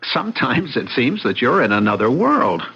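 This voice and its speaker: An actor playing an earnest, unsuspecting husband in an old-time radio drama, speaking emphatically with a husky edge. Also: male voice, mid-range voice, sing-song, moderate pace, bright, forceful tone, a little hiss or hum, full character voice. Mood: concerned. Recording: dull vintage radio recording.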